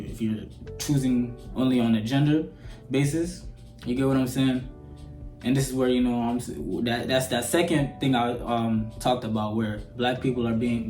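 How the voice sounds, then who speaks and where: An eighteen-year-old man with an American accent, talking at a middling pitch in a loose, rambling rant to camera. His voice bounces around a roomy space, and soft guitar music plays faintly behind him. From Sudan. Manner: rambling and conversational, often asks "you know what I'm saying?". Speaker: vlogger. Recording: roomy echo.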